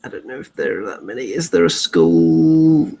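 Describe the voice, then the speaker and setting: A man's voice: medium pitch, very animated, normal speed, creaky, mellow. A man co-hosting a hobby show in casual conversational chat.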